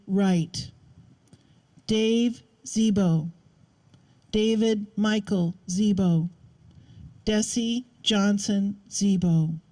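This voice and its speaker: A woman reading out a list of names in a solemn, formal ceremonial style, measured and with pauses between each. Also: medium pitch, warm tone. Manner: measured, one name at a time with pauses. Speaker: name reader at a memorial ceremony.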